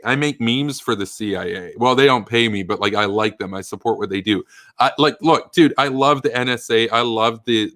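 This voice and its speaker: A man's voice: on the low side, fairly bright tone, dry recording, studio quality. Blunt, emphatic male stream host. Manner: blunt, rambling, emphatic, many fillers and restarts. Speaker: political commentary host. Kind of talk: live-stream commentary reading chat questions.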